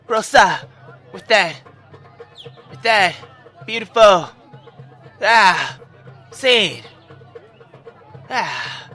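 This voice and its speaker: A male gaming YouTuber reading a visual novel aloud and reacting in a theatrical, excitable way. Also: high voice. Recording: music behind the voice. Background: background music.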